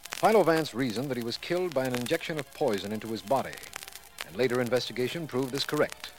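An actor playing a courteous, businesslike district attorney in formal 1940s radio style. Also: male voice, mid-range voice, quick pace, natural voice, poor audio. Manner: formal, crisp narration and dialogue.

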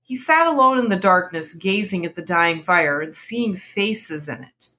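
A woman with a bright, slightly low voice narrates in a dramatic reading style. She delivers scripted horror fiction as a storyteller would, line by line to the listener. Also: animated, unhurried. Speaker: podcast host reading a story aloud.

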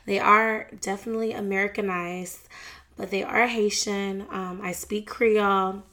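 A woman's voice: medium pitch, steady tone, a little slow, pushed and bright. Young woman vlogger, chatty and frank, loose slangy delivery. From United States. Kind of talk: casual celebrity gossip chat.

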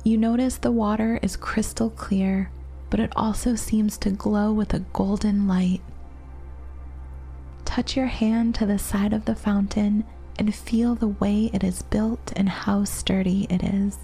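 A woman guides a relaxation meditation with a mellow, breathy voice. She speaks gently and soothingly, leaving long pauses between phrases. Music plays behind her. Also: medium pitch, fairly even intonation. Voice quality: soft, breathy.

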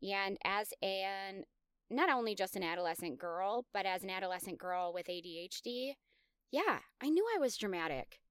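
A woman's voice: medium pitch, animated, normal speed, fairly bright tone, clear, resonant tone, no room sound, studio quality. A woman in her thirties hosting a podcast, telling her own story in a candid, confessional, self-reflective way. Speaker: podcast host. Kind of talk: personal storytelling monologue.

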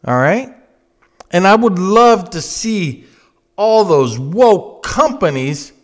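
An American man in his fifties delivering an opinionated political monologue, blunt and emphatic, often repeating phrases to drive them home. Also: on the high side. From United States. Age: 50s. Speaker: political commentator and host.

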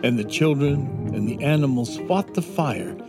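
A man narrating a scripted children's adventure story in a measured, dramatic storytelling manner. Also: medium pitch, lively intonation. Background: instrumental music.

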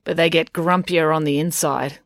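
A woman's voice: low-pitched, fairly even intonation, normal speed, no echo, clean studio sound. A woman voicing a brash, sarcastic young girl in a children's audiobook, blunt and scornful. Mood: mocking.

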